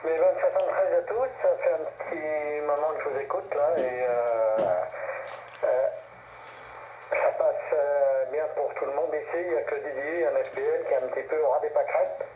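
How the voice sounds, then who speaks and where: A man's voice: fairly high pitch, steady tone, moderate pace. Frenchman over tinny, noisy ham radio. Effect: radio transmission. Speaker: amateur radio operator. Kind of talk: casual ham radio conversation. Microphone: heard through a radio receiver's speaker. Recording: noisy, roomy, narrow-band shortwave-style radio.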